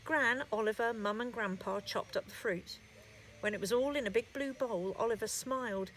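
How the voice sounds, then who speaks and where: A woman storyteller narrating a scripted children's story in an expressive, deliberate way. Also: fairly high pitch, slight background noise, low-quality recording.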